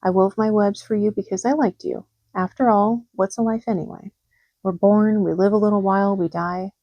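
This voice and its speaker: Gentle dying spider, wise and soft-spoken, read by a woman narrator, dry close sound. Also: medium pitch, fairly even intonation, moderate pace, no room sound, lo-fi sound, lightly acted.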